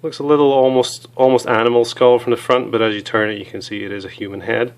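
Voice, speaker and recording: A hoarse man with a cold, chatting casually as he reviews small gear items, with many fillers. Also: medium pitch, little pitch movement, fast talker.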